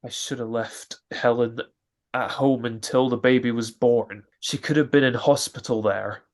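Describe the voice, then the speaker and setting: A man's voice: medium pitch, fairly even intonation, normal speed, darkish tone, natural voice. A man playing a nervous, fretful expectant father with an anxious stammer in a radio drama. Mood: worried, guilty. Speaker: amateur radio drama actor.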